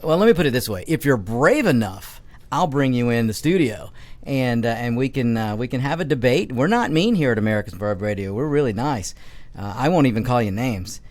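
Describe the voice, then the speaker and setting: A middle-aged man hosting political talk radio from a studio. He is emphatic and opinionated, often stumbles and restarts his phrases, and speaks at an average pitch. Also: expressive, fairly quick, a little mellow. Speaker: radio talk show host.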